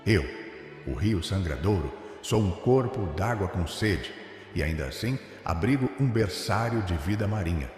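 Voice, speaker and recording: A man with a Brazilian accent voicing a wounded, thirsty river in solemn, poetic first-person narration, with a gravelly voice. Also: deep voice, expressive, normal speed, a little mellow. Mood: yearning, solemn. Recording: cavernous echoing reverb with faint music.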